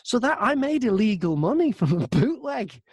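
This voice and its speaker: A man's voice: high-pitched, very animated, moderate pace, husky, dry recording. A man who narrates audiobooks, chatting casually as an interview guest and acting out his thoughts and messages as he tells stories.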